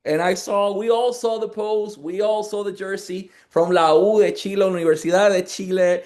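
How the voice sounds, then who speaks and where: A man's voice: high-pitched, fairly even intonation, normal speed, darkish tone. A man hosting a wrestling interview, eager and talkative as he asks his questions. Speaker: interview host. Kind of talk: energetic sports interview.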